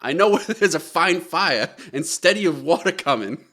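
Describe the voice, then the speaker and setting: A woman voicing an excited young boy with a Boston accent, loud and eager. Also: sits low, normal speed.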